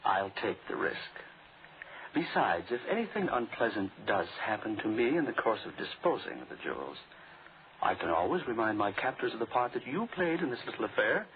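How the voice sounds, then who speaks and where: On a thin, faintly noisy vintage radio recording, a gentleman crook in a thriller. He is mannered and sardonic, wary under the politeness, with a voice that swings widely in pitch. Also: very animated, brisk, phone-quality sound. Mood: suave, wary. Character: smooth, suspicious gentleman crook, coolly defiant. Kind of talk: old-time radio thriller acting.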